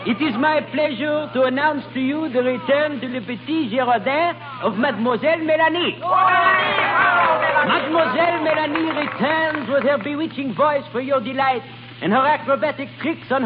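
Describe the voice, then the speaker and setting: A man's voice: very high voice, moderate pace. An actor playing a florid male cabaret master of ceremonies in a vintage radio drama, announcing grandly. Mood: showy. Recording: old radio broadcast, tinny, hiss, crowd behind.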